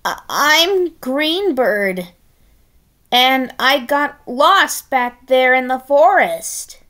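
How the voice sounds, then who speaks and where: Nervous little storybook pig played by a woman, high, slow and hesitant. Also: expressive, fairly bright tone, heavily acted. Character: small, timid male pig posing as a bird.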